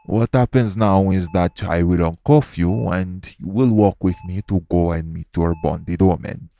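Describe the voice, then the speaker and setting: A man acting a formal, patient male monster doctor with measured, stern speech in an audio roleplay. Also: deep voice, creaky, mellow.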